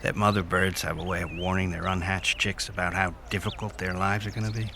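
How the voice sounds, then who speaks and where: An actor playing a male police negotiator in an audio drama, outwardly calm but coldly menacing. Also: low-pitched, brisk, gravelly, a little hiss or hum, exaggerated voice. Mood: subdued. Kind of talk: modern audio drama acting.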